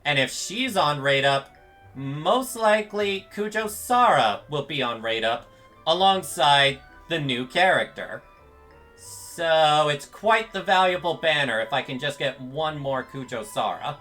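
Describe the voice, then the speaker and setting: A man with a fairly high, very bright voice streams a video game. He comments casually and chats with viewers over faint game music. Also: animated, unhurried.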